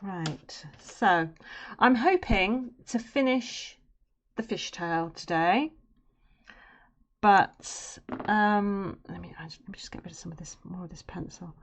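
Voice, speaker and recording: A woman with a naturally low voice, chatting casually and rambling to her live-stream viewers while she draws. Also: takes it slowly, a little hiss or hum. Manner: chatty and rambling, drifting into murmurs and humming while she works. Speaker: streamer and artist. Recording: dull lo-fi stream audio.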